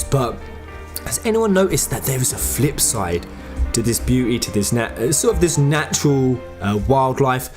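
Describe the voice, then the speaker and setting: A man hosting a gaming fan-theory video, talking casually in an informal, slangy, excitable way that builds suspense. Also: mid-range voice. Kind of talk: casual fan-theory commentary over game footage. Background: background music.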